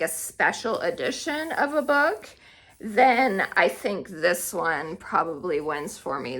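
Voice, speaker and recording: A woman's voice: medium pitch, fairly bright tone. A woman talking casually and enthusiastically to viewers as a book vlogger, in a chatty way.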